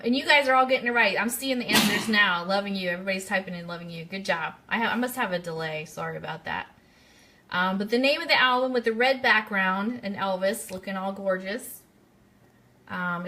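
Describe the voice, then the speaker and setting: A woman's voice: medium pitch, a little fast, sharp tone. A woman hosting a casual live-streamed radio quiz, chatty and speaking directly to her listeners. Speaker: radio show host.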